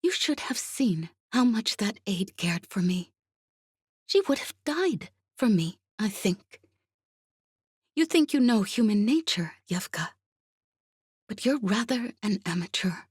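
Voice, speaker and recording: A woman voicing a calm, calculating veteran female spy in an audiobook, speaking softly and breathily with quiet assurance. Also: medium pitch, slow pace, breathy edge, no room sound, clean studio sound, voice pushed up, exaggerated voice. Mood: coolly taunting. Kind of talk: audiobook character acting.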